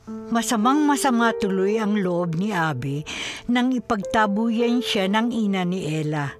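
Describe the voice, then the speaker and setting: A woman's voice: medium pitch, animated, unhurried, over music. A woman with a Filipino accent giving scripted narration for a radio drama.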